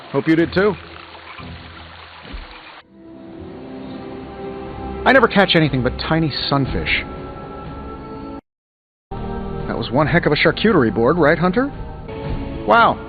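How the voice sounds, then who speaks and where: A cocky tech billionaire superhero for a game, highish swoopy voice, sarcastic quips, music under it, bit muffled. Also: male voice. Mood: wry, joking. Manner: glib, sarcastic, quippy banter. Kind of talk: video game voice acting.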